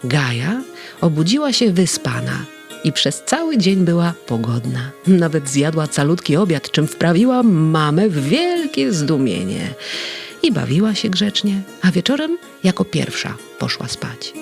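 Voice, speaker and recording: A narrator reads a children's bedtime story with tender, gentle expression. The voice carries a slight gravelly texture, and faint background music runs beneath the narration. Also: mid-range voice, sing-song, unhurried.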